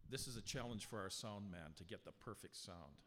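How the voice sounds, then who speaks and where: A man preaching a sermon from the pulpit, talking with the congregation in a conversational way and slowing right down when he reads scripture aloud. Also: on the low side, very noisy. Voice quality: strong voice. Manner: conversational preaching with rhetorical questions; slow, emphatic scripture reading. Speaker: pastor. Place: church sanctuary. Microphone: handheld or stand mic, sound adjusted live. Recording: long hall reverb.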